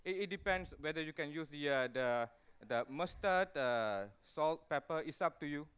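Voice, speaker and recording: A man's voice: fairly high pitch, lively intonation, normal speed, fairly bright tone, smooth. A man, an executive chef talking through a live cooking demonstration, chatty and full of fillers and restarts. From Malaysia. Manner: chatty, explains steps with many fillers and restarts.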